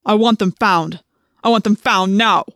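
A woman voicing a cold, imperious male alien commander in an audiobook, with slow, threatening, commanding delivery. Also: fairly low pitch, fairly even intonation, no echo, studio quality, close to an everyday voice. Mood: angry, commanding.

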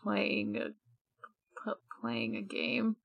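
Casting: female podcast co-host, creaky and unhurried, hesitant, trailing-off casual chat with offhand swearing. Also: medium pitch, level tone, no echo, lo-fi sound.

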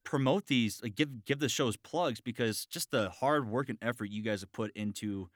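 A man's voice: mid-range voice, normal speed, no room sound, clean studio sound. A man chatting casually as host and voice actor, with an enthusiastic, encouraging, sweary manner. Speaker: interview show host and voice actor. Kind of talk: casual chat about voice acting.

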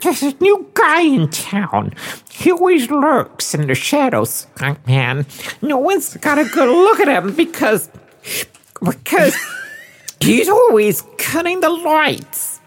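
A man's voice: very high-pitched, wildly swooping pitch, slow pace, pitched above the performer's own voice, exaggerated voice. A man voicing a squawking, shifty chicken crook who stammers and pleads in a comic audio drama. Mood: shifty, nervous. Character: frantic, squawking male chicken crook, shifty petty criminal.

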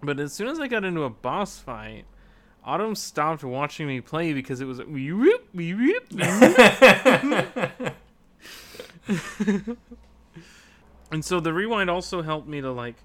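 Male podcast co-host, forceful bright voice a bit above average pitch, casual gaming talk. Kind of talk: casual video game discussion.

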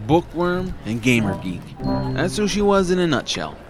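A man's voice: on the high side, big pitch swings, normal speed, over music. A man narrating a scripted comedy audio drama in a wry, dramatic storytelling manner.

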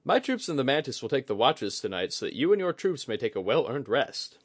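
A man's voice: mid-range voice, very animated, slightly rough, no echo, clean studio sound, pitched above the performer's own voice. Man playing a courteous, controlled samurai officer. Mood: falsely jovial. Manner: measured, formal, courteous. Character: diplomatic male officer, controlled, polite, placating.

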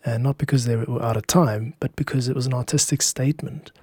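Man, podcast host, soft mellow tone, scripted narration, measured and reflective phrasing, close dry recording. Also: medium pitch, fairly even intonation, husky, dry recording.

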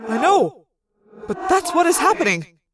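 Woman voicing Axe, precise high-voiced talking shark. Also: very animated, a little slow, over music. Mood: earnest, reporting. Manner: precise formal speech without contractions. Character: Axe, earnest, formal talking shark, male.

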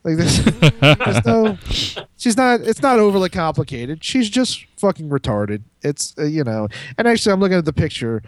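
A man co-hosting a podcast, talking in a halting, rambling, profane way with crude opinions. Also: a little high, big pitch swings, no echo. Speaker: podcast co-host. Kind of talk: casual, crude opinionated group banter.

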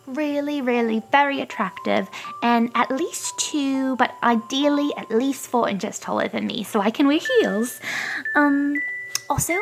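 Woman doing a ditzy rich wizard schoolgirl, high bouncy voice, giggles a lot, music behind. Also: creaky, heavily acted. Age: young adult. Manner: giggly, ditzy, filler-heavy valley-girl talk. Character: shallow rich young female wizard student. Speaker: caller recording a dating ad.

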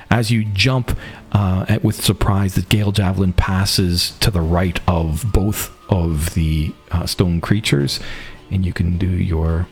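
A man running an online tabletop game as game master, narrating casually. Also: low voice.

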